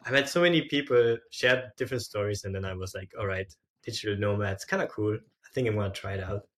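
A young man chats as an interview guest. His voice sits slightly low but has a bright, forward edge. He tells his story loosely and informally, with plenty of conversational filler words. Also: fast. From Austria. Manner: informal, frequent "like" and "sorta". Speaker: podcast guest, entrepreneur.

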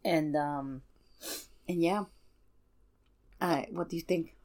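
A woman's voice: low voice, slow pace, darkish tone. A woman chatting casually as a podcast guest, telling stories and explaining asides as she goes.

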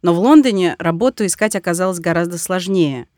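A woman's voice: fairly low pitch, a little fast, a little mellow, dry recording. Woman podcast host, dry studio sound. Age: 30s. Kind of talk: scripted podcast narration and interview questions.

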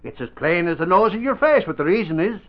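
A very high-voiced, blustery newsman bursts out of a dull vintage radio recording. He is a folksy, excitable comic sidekick who pushes every point hard. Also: fast talker. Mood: indignant. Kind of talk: old-time radio drama acting. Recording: muffled old radio broadcast.

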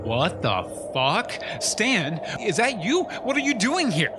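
A man's voice: high voice, huge pitch swings, takes it slowly, background noise, full character voice. A man playing a vain, bitter anchorman with a pompous, sarcastic manner in an audio comedy drama. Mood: incredulous. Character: vain, bitter, disgraced fake news anchorman.

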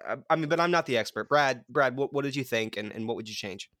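Bright-voiced man in casual banter. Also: medium pitch, fast, no room sound, clean studio sound. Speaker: podcast co-host. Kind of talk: casual comic podcast chat.

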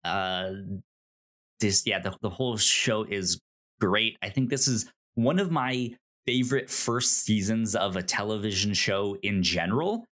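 A man co-hosting a podcast in casual chat, halting and repeating words as he thinks. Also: on the low side, a bit bright. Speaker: podcast co-host. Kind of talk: casual chat reviewing a TV show.

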